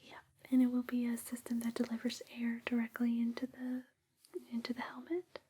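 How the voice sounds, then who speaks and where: A woman performing a whispered ASMR roleplay, gentle, soothing and unhurried, close on a binaural microphone. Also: on the high side, monotone, slightly rough.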